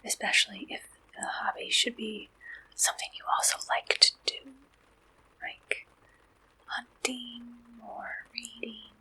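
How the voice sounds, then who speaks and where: A woman whispering breathy, gentle questions in an ASMR roleplay, as an interviewer taking down answers. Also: a little high, little pitch movement, a little slow, rough recording. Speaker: ASMR roleplayer acting as a dating service interviewer.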